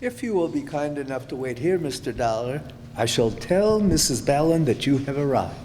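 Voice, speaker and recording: An actor playing a stately, deferential butler of a grand house in old-time radio drama style, formal and polite. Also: male voice, medium pitch, big pitch swings, normal speed, a little mellow, lightly acted. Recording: noisy, reverberant hall.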